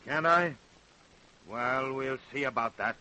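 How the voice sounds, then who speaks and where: A man's voice: medium pitch, takes it slowly, pushed and bright, low-quality recording. An actor with an American accent playing a possessive, evasive working-class father in an old-time radio crime drama. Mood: desperate, threatening.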